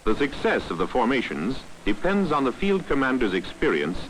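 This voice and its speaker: A man's voice: mid-range voice, big pitch swings, normal speed, slight background noise, poor audio. A man narrating a 1960s training film from a script in a formal, authoritative, instructional manner, on a vintage soundtrack.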